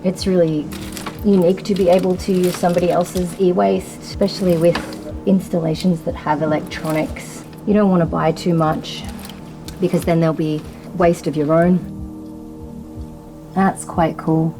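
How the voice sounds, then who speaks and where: Woman talking casually while working, voice a bit low, music in the background. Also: flat delivery, normal speed. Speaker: artist and workshop participant. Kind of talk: informal spoken commentary while working. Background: music behind the voice.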